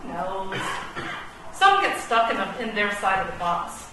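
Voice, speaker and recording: A woman's voice: mid-range voice, big pitch swings, measured pace. A woman acting a bookish, brooding chess pawn with a poet's soul in a comic radio play, with pompous, precise, theatrical delivery. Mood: gloomy, grandiose. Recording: roomy, distant, noisy stage recording.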